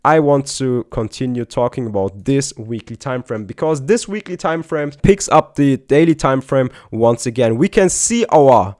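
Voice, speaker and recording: A man's voice: mid-range voice, lively intonation, moderate pace, hardly any pauses, a little mellow. A man with a German accent, German his first language, giving casual chart analysis for his channel and repeating "guys" and "very, very" often. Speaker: crypto YouTuber.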